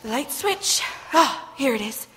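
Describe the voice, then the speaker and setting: A woman's voice: sits high, animated, unhurried, fairly bright tone, a little hiss or hum. An actress playing an insistent, suspicious, alarmed woman in 1940s radio drama style, melodramatic in delivery. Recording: live stage, long hall reverb.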